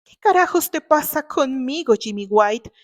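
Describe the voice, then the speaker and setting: Woman playing indignant, proud young man, high-pitched. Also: lively intonation, unhurried. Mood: controlled irritation. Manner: challenging, interrogating. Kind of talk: dramatized audiobook reading.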